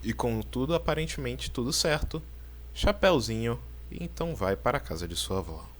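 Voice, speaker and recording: A man with a Brazilian accent gives casual explainer commentary, informal and pausing for drama. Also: mid-range voice, animated, background noise. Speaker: video creator and narrator. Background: background music.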